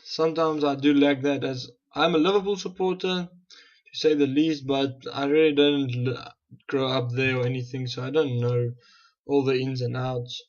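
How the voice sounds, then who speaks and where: A man's voice: mid-range voice, fairly even intonation, moderate pace, a little mellow, dull audio. A young man with a South African accent, talking casually through his football management game as a gaming channel host and often correcting himself as he goes. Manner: thinking aloud, restarts and self-corrections. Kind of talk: casual gameplay commentary.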